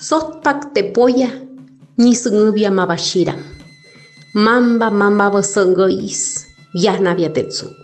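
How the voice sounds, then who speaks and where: A woman poet from Chiapas, Mexico, whose first language is Zoque, reciting her poems in a slow, measured way. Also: medium pitch, wildly swooping pitch, soft background music. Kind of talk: poetry recitation.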